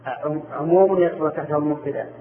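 A man's voice: a little high, fast talker, vocal fry. A man teaching Arabic grammar in class, quizzing students and confirming their answers with short replies. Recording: echoey room, phone-like narrow sound.

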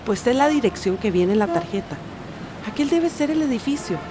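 A woman's voice: a little high, animated, a little fast, background noise, natural voice. An actress playing a determined, hopeful emigrant mother in a radio drama. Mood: insistent.

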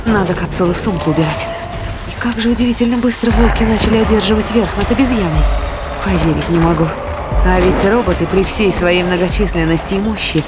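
Woman acting a defiant fighter over loud music. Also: medium pitch, brisk, natural voice. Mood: amazed. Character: defiant, sardonic woman fighter facing a monster. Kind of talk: audio drama acting.

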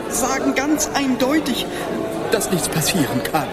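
Radio play casting: sly male court astrologer, oily, slow-talking, over loud music and crowd. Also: very high voice, very animated, exaggerated voice. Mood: nervous, evasive. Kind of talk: German radio play acting.

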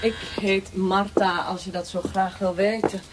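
An actress plays a female interrogator in a radio play, cold and mocking. She speaks with deliberate menace in a mid-pitched voice, over faint background noise. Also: fairly even intonation, natural voice. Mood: taunting, menacing. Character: cold, taunting female torturer for a military regime. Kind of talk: radio drama acting.

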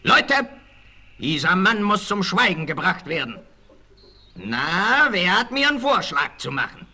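A man's voice: high-pitched, very animated, measured pace, bright, forceful tone, full character voice. An actor playing a ruthless, scheming and hot-tempered bandit colonel in a 1960s German audio drama, sly and mocking as he gives orders. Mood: cold, menacing. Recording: faint noise of an old vinyl record.

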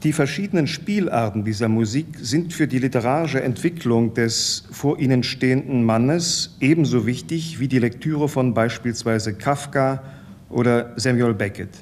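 A man's voice: mid-range voice, normal speed, darkish tone. A man delivering a scripted commentary in a formal, literary register. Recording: faint ambient noise.

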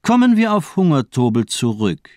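Sinister, silkily polite doctor. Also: male voice, fairly high pitch, very animated, moderate pace, darkish tone, no room sound, studio quality. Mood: probing, superior. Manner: mockingly polite, eloquent, controlled. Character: sinister, cultivated, sadistic male doctor toying with his captive. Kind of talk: German radio crime drama acting.

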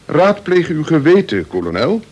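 An actor playing a pompous, sanctimonious prison chaplain in a radio play, formal and preachy with a husky voice. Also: male voice, on the high side, very animated, moderate pace, a little mellow.

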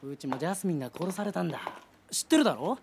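A gravelly, lively voice a flippant agency manager who jokes casually. Clear noise sits behind the voice in this radio drama. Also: female voice, fairly low pitch, very fast. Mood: breezy. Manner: casual and joking.

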